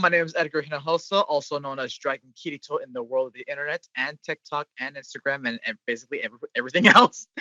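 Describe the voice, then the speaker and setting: A man's voice: fairly high pitch, bright, forceful tone, no echo, lo-fi sound. A man voice actor introducing himself chattily on a podcast, laughing as he talks. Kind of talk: casual podcast chat.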